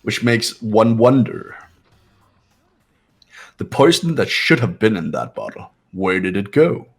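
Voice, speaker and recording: Male player reading a game script aloud: low, husky, calm and measured, with music underneath. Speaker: player voicing a game character.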